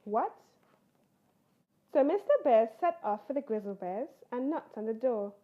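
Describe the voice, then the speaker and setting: A woman with a fairly high voice reads a children's picture book aloud. Her delivery swings expressively up and down as she tells a bedtime tale. The recording is slightly dull and lo-fi. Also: fairly quick. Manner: animated, acts out character voices.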